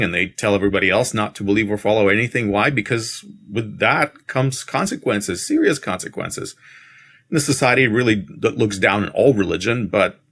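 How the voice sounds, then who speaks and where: A middle-aged man from Siberia with a Russian accent, telling his life story in a halting, reflective, conversational way as a podcast guest. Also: fairly low pitch, vocal fry, fairly bright tone.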